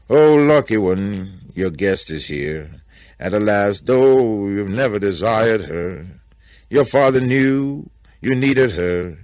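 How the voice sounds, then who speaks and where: An exuberant talking bear from a storybook, played by a man. He declaims with reverent wonder, stretching out his exclamations in a swooping, rough-edged voice. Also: mid-range voice, dry recording, studio quality, pitched above the performer's own voice, heavily acted. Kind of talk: dramatic storybook character voice.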